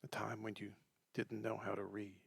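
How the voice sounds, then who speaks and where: Male meditation guide: deep, husky and soft, gentle delivery with long pauses, breathy phrasing. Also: completely flat delivery, dry recording. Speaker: meditation teacher.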